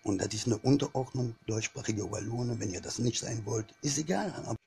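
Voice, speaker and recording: Gravelly, insistent man. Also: mid-range voice, a little fast, a little mellow, a little hiss or hum. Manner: insistent, repetitive, mixes in French phrases. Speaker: vlogger. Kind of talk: opinionated monologue to camera.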